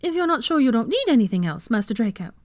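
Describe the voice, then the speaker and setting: Fantasy audiobook role: a timid, servile female elf, squeaky high voice, broken grammar, dry close sound. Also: very animated, quick pace, darkish tone, no room sound. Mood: anxious, eager. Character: nervous, squeaky, eager-to-please female house-elf servant.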